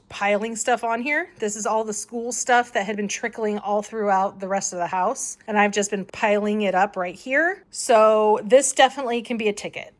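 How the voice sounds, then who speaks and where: A hoarse woman vlogging casually as she walks through her family house, chatty and rambling, laughing now and then. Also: medium pitch. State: hoarse from a long cough.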